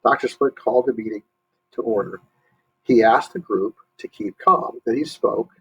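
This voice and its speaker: A man reading a story aloud to young listeners, pausing to explain and ask questions. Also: mid-range voice, breathy edge, lo-fi sound. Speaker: storybook reader, teacher.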